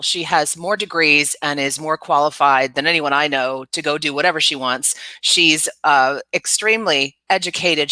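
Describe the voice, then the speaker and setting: A woman's voice: low voice, bright, forceful tone, no echo. A middle-aged woman hosting a live online interview show, speaking in an upbeat, chatty way. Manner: upbeat, chatty, often laughing. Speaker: host.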